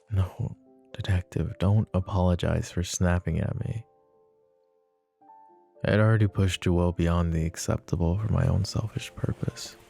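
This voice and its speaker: Guy doing a possessive mafia boss, super deep and low, close intimate asmr talk. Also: vocal fry, mellow. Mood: subdued. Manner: low, measured and intimate, speaking to an unheard listener. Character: possessive young adult male mafia boss, guarded. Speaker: voice actor. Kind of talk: boyfriend ASMR roleplay.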